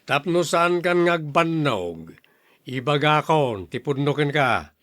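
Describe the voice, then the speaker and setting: A man's voice: on the high side, big pitch swings, slow, fairly bright tone, no room sound, full character voice. A man with an Ilocano accent acting a stern, blustery older brother who turns smitten suitor in a radio drama. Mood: scolding. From Philippines.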